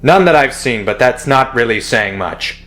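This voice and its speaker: A man playing a steady, curt and weary squadron commander in a sci-fi audio drama. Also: medium pitch, normal speed, faint music behind, close to an everyday voice. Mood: calm, commanding.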